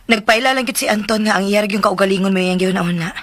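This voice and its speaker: A woman's voice: medium pitch, no echo. A woman acting in a radio drama as a weary, regretful young mother, with an emotive, sighing delivery. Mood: resentful.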